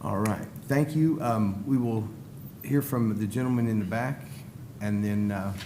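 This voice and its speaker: A man chairing a city code enforcement board, courteous and relaxed. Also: unhurried. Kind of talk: chairing a public meeting. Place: city council chamber. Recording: echoey room with background rumble.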